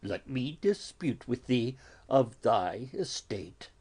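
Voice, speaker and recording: A man playing a stern, exasperated friar in a Shakespearean tragedy, speaking deliberately with a breathy, dramatic delivery. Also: medium pitch, big pitch swings, full character voice. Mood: firm counsel. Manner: slow, deliberate, dramatic, dropping into hushed breaths. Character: stern, exasperated male friar counselling a youth. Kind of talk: classical stage tragedy in audio.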